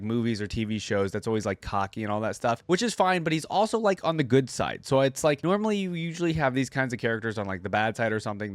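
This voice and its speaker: A man's voice: medium pitch, no echo. A young man giving casual, chatty reaction commentary as a gaming fan, informal and easily amused.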